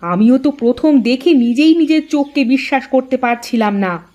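A woman's voice: high voice, animated, moderate pace, mellow. A woman playing an outspoken village housewife in a cartoon, exclamatory and nagging in rustic everyday speech. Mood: astonished. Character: outspoken village housewife, scolding yet easily amazed. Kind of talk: cartoon moral-story voice acting.

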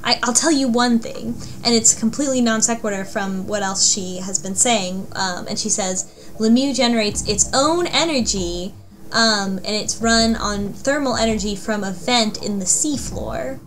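A woman in a casual chat, recapping a story and explaining details in a halting way. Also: a little high, a bit bright. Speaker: podcast panelist.

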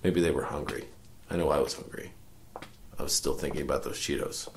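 A world-weary private eye acted by a man narrates a noir parody radio drama. The voice is low with a heavy creak, and the delivery is wry, deadpan and full of self-importance. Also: a little fast, a little hiss or hum. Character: cynical world-weary hard-boiled male detective narrating his case.

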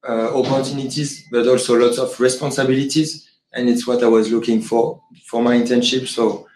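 A man's voice: medium pitch, level tone, moderate pace, a little mellow. A young man from France, a native French speaker, talking about his internship in careful English. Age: 20s. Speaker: talent acquisition intern. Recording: echoey meeting room.

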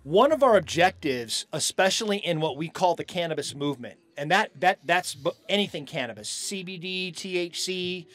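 A middle-aged American man talks as the guest in a casual outdoor interview. His voice sits a little higher than average. He speaks in stop-and-go phrases into a handheld microphone. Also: lively intonation, moderate pace, few pauses, a bit bright. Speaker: hemp farm owner being interviewed. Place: outdoors on a festival lawn.

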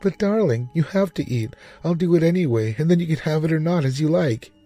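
A man's voice: on the high side, lively intonation, a little fast, warm tone, faint music behind, pitched above the performer's own voice. A man voicing a devoted, anxious housewife who fusses and coaxes in a storybook reading. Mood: anxious pleading. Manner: fussing, coaxing. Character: devoted, anxious, eager-to-please young housewife.

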